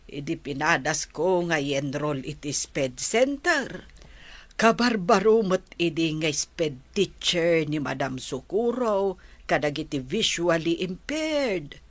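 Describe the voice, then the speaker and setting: A woman playing a caring grandmother in an Ilocano radio drama, gentle and explanatory, with an Ilocano accent. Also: sits low, sing-song, slow pace, no room sound, full character voice. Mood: gentle, explaining. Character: caring, slow-spoken grandmother of a blind student.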